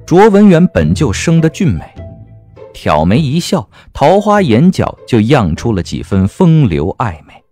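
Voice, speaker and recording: A man's voice: medium pitch, wildly swooping pitch, unhurried, dark tone. A man narrating a comic period novel as an audiobook, with a wry, theatrical delivery. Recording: music bed under the voice.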